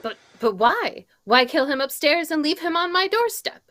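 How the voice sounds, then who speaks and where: A flustered lady suspect acted by a woman in a vintage radio whodunit, quick to take offense and defend herself. Her voice is very high and sharply bright. It swoops around with exaggerated indignation. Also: very animated. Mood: defensive, indignant. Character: flustered, defensive New York female murder suspect, indignant. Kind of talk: old-time radio mystery script reading.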